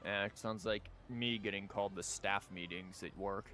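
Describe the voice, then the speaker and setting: A man's voice: fairly low pitch, fairly even intonation, normal speed, sharp tone, slight background noise. A man doing casual live game commentary as a streamer, with wry, rambling asides. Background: game music.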